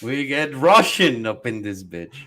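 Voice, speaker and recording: A man with a mid-range voice chats loosely on a podcast, swearing freely and breaking into easy laughter. His talk is relaxed and offhand. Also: medium pitch, unhurried, slight background noise. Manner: loose, profane, laughs easily. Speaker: podcast co-host. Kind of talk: casual chat reacting to anime dubs.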